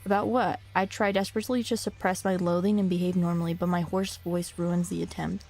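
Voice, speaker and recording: A young woman streaming, talking casually to her chat in slang and reading game text aloud, a little hoarse from a cough. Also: lowish voice, little pitch movement, a little fast, a little mellow, faint music behind. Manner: slangy, rambling, talks to chat.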